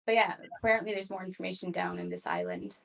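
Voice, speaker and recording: A woman's voice: on the low side, huge pitch swings, fast, fairly bright tone. A woman playing a tabletop roleplaying game, chatting casually and a little hesitantly in character. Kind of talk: casual improvised roleplay chat.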